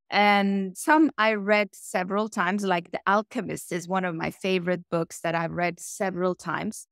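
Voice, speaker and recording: A middle-aged woman from Iran, raised in Norway, talks through interview questions with a smooth, resonant voice. She sounds lively and thoughtful and lists examples one after another. The recording is dry and close. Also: medium pitch, dry recording. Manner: lively and reflective, lists things in runs. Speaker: interview guest, tech executive. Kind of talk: casual interview answers.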